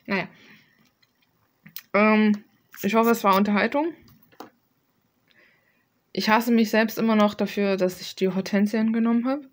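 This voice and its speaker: A woman's voice: mid-range voice, steady tone, a little slow, pauses often. A young adult woman chatting casually in a rambling, slangy, self-deprecating way with mild swearing, like an artist presenting her own work in a vlog. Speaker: illustrator presenting own artwork. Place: small room at home, desk.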